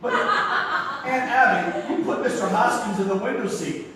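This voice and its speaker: A man's voice: extremely high pitch, sing-song, measured pace, bright, forceful tone, slight background noise, full character voice. Flustered sarcastic young man in a stage farce, echoey room, far from mic. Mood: frantic. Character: frantic, exasperated theatre critic nephew, sardonic young man. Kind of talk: comic stage play acting. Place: small theatre, live performance.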